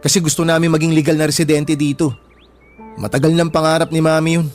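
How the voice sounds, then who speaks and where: An actor playing a wounded, bitter younger brother with a Filipino accent in a radio drama, emotional and plaintive. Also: male voice, on the high side, flat delivery, quick pace, close to an everyday voice. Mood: resigned. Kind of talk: Tagalog radio drama acting. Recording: background music throughout.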